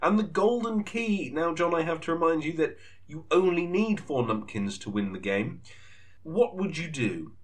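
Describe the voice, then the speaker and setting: A man hosting a comedy podcast in a mock-serious, deadpan announcer style. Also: on the high side, moderate pace. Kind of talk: mock-serious sketch presenting.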